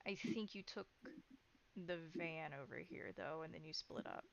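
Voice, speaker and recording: A woman's voice: deep voice, normal speed, gravelly. A woman acting as game master, narrating a tabletop horror roleplay and explaining things to players. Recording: dull, band-limited sound.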